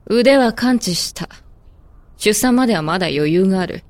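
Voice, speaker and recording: A woman's voice: mid-range voice, animated, moderate pace, no room sound, lightly acted. A woman playing a proud, blunt pregnant werewolf in a voice drama, with brusque, self-assured, masculine wording. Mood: brisk, composed. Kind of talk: Japanese amateur voice drama acting.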